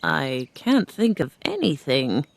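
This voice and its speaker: A woman doing a gentle, soft-hearted tin man. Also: low-pitched, very animated, slow, creaky, full character voice. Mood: earnest. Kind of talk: storybook reading.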